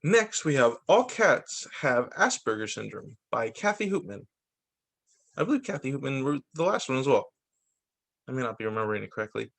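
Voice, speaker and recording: A male teacher reading picture books aloud to children, expressive and dropping in short spoken asides of his own. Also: mid-range voice. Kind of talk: read-aloud story time for children.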